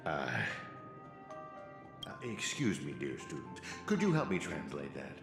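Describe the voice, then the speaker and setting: Smug scheming professor villain. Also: male voice, extremely low pitch, wildly swooping pitch, measured pace, full character voice. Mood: smug lecturing. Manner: theatrical, condescending lecturing. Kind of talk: video game voice acting. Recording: roomy game audio with music.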